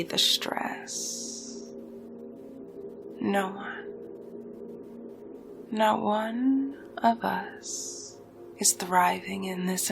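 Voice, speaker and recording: A woman playing a conspiratorial, seductive supervillain recruiter in a theatrical roleplay, speaking with dramatic pauses and hushed, breathy passages. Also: mid-range voice. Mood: bitter indignation. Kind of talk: slow theatrical roleplay monologue, partly whispered. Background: music.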